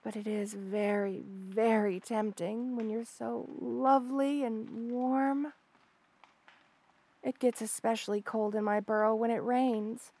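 A woman's voice: fairly high pitch, little pitch movement, slow, mellow. A woman voicing an obsessive, lovestruck rattlesnake lamia in an intimate audio roleplay, gushing and hesitant with coy stammers. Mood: longing, tender. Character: obsessive lovestruck female rattlesnake lamia, shy yet unsettling.

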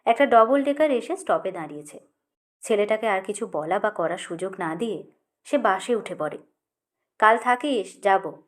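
A woman's voice: mid-range voice, animated, moderate pace. A woman reading a literary short story as an audiobook narrator.

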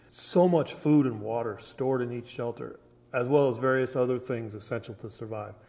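A man's voice: mid-range voice, gravelly, darkish tone, natural voice. A man playing a grave disaster survivor giving testimony in a radio drama, in a reverberant space. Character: grave male disaster survivor recounting the catastrophe. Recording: long reverberant hall echo.

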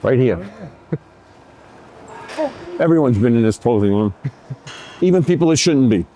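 A man's voice: mid-range voice, expressive, unhurried, warm tone. Man, sixties, gym owner, blunt, plain-spoken, casual talk. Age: 60s. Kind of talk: casual interview and gym tour.

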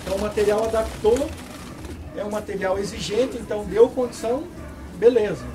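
A man talking casually at an outdoor fair, reeling off numbers quickly. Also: sits high, steady tone, background noise. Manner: quick talk full of numbers. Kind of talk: casual talk at a farm fair. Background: crowd chatter.

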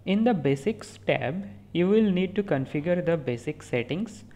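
Steady tutorial voice. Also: mid-range voice, moderate pace. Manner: steady step-by-step instructions with small self-corrections. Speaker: tutorial instructor. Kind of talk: screen-recorded software walkthrough voice-over. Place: voice-over recorded apart from the screen capture.

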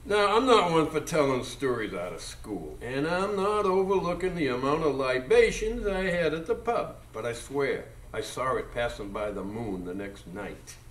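An actor playing a drunken, brash American steamboat captain who rambles and mocks in a live radio drama. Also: male voice, medium pitch, big pitch swings, normal speed, hardly any pauses, natural voice. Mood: rambling, exasperated. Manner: rambling, mocking, incredulous. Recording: echoey live stage, distant mic.

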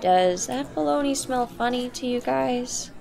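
A woman's voice: on the high side, unhurried, vocal fry, a little mellow, a faint crowd. A woman voicing a sarcastic, spirited teenage girl in a game dub. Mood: wry. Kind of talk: game fandub acting. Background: guitar music.

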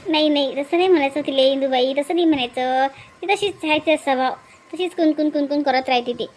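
A woman voicing a brisk, caring village woman in a cartoon, chatty and repetitive. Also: high-pitched, natural voice. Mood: soothing, chiding.

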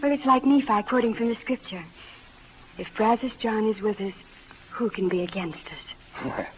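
An actress playing a spirited, romantic young frontier bride in an old radio western, speaking with earnest melodrama. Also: female voice, fairly high pitch, normal speed, lightly acted. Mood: eager, grateful. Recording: tinny, noisy old radio recording.

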